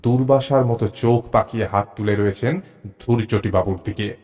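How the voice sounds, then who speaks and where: A man narrating a suspense story in the first person, with deliberate, dramatic phrasing and pauses. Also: on the low side, darkish tone. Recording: narration over clear background music. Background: music.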